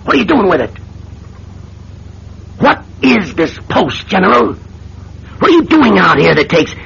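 A man's voice: high-pitched, very animated, a little slow, gravelly, fairly bright tone, husky, exaggerated voice. A man acting a shrewd, persistent middle-aged senator and old pilot in a radio drama, questioning insistently in a folksy, hesitant way. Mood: pressing. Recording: dull, noisy vintage radio recording.

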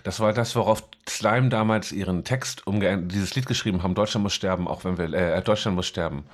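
A man's voice: low-pitched, brisk. A man podcast host chatting casually, rambling and correcting himself as he explains things. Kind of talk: casual chat.

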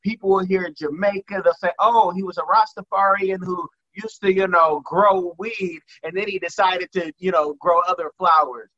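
A man's voice: high-pitched, fairly even intonation, moderate pace, few pauses, bright, forceful tone, dull audio. A man hosting an interview from his home kitchen, rambling and repeating words as he talks eagerly. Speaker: interview host. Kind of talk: casual interview chat.

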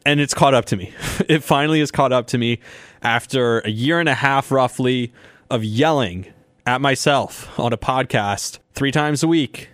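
A man's voice: mid-range voice, normal speed, a bit bright, no echo. A young man hosting a sports podcast in a casual, rambling way, his voice a little sore. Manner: chatty, rambling, laughs often. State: slightly sore voice. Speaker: podcast host.

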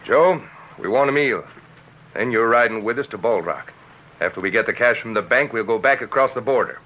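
A man's voice: medium pitch, brisk, pushed and bright. A man playing a menacing, bossy outlaw gang leader in an old radio western. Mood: threatening, commanding. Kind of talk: 1950s radio western acting. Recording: noisy old radio recording.

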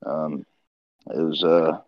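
Podcast guest, man from Nepal, deep voice, easygoing, laughs as he talks, dry lo-fi recording. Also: little pitch movement, takes it slowly, no echo. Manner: laid-back, laughs often, trails off with "uh" and "you know". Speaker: guest, swimbait builder. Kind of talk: casual storytelling interview.

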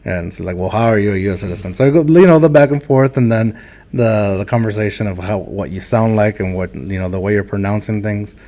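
Host, man, low-pitched, slight Mexican-Texan accent, halting, reflective delivery. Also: warm tone. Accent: Mexican-influenced Texan. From Texas border town. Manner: hesitant, many fillers and restarts, reflective. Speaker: podcast host. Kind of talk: casual interview talk.

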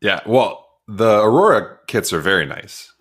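A man with a deep, lowish voice co-hosting a sports podcast. He sounds relaxed and laughs often as he gives team updates in a casual way. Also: a little slow, breathy edge. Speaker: podcast co-host.

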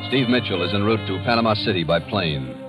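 Dramatic American radio announcer over music. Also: male voice, lowish voice, animated, brisk. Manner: emphatic, portentous. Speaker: announcer and narrator.

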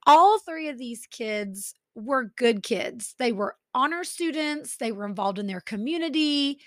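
A chatty Texan woman hosts a true crime podcast, her voice pitched slightly high and a little stuffy from allergies. She tells the story with sarcastic asides, and the sound is close and dry. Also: dry recording. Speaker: podcast host.

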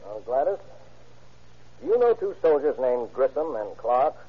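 An actor playing a shrewd, calm police detective in an old-time radio drama, with a rough-edged voice. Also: male voice, mid-range voice, moderate pace, slight background noise. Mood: questioning, calm. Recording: dull, grainy vintage radio recording.

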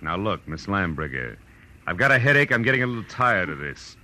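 An actor playing a tough, cynical, wisecracking private detective in a noir radio drama, talking in hard-boiled slang. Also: male voice, sits low, very animated, a little fast, pushed and bright, exaggerated voice. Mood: irritated, commanding. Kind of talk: 1940s radio noir acting, with first-person narration.

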